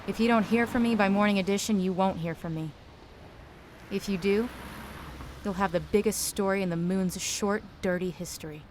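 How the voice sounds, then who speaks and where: A woman's voice: medium pitch, moderate pace, a little hiss or hum, natural voice. Woman playing a world-weary hard-boiled female detective. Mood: weary, wry. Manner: terse, wry, deadpan noir narration and dialogue. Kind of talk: noir audio drama acting.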